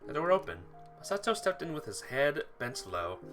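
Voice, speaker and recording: A let's player narrates a visual novel in a bright, very animated voice. The reading is expressive, with some stumbles and repeated phrases. Music plays behind it. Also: medium pitch, normal speed. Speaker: video game let's player.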